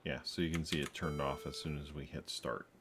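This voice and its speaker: A man's voice: very deep voice, fairly even intonation, a little fast, noisy background. A man hosting a programming tutorial, thinking aloud as he codes, tired, with many ums and uhs. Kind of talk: casual screen-recorded coding walkthrough.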